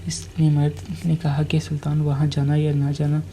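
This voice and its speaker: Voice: medium pitch, completely flat delivery, normal speed, warm tone, a little hiss or hum. A storyteller narrating a scripted tale, now and then stumbling over and repeating words while reading.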